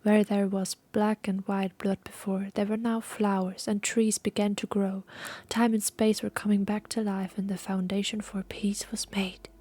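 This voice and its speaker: A woman reading scripted fantasy audio play narration in a solemn, measured storytelling manner. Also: medium pitch, flat delivery, mellow. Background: music.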